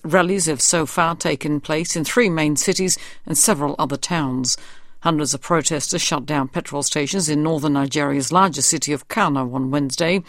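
A man's voice: fairly high pitch, a bit bright, no echo, studio quality. A man reading a scripted news report for radio. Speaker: radio newsreader.

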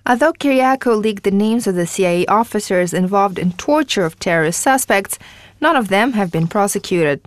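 A female newsreader delivering a radio news report in a measured, formal way. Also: mid-range voice, lively intonation, no echo.

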